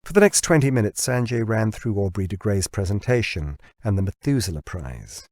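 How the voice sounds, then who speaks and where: A man's voice: lowish voice, sing-song, moderate pace, darkish tone, no room sound, studio quality. A man reading a novel aloud as an audiobook narrator, in scripted storytelling style.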